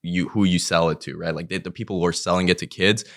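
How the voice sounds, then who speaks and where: Young man with a low voice. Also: steady tone, quick pace, a bit bright. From Iran. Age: young adult. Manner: rambling, lots of "like" fillers. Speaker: guest. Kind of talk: casual podcast chat.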